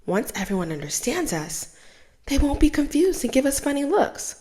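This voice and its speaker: Young woman storytime host, lively sing-song read-aloud, plenty of pitch movement. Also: moderate pace. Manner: expressive, animated storytelling. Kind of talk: children's book read-aloud.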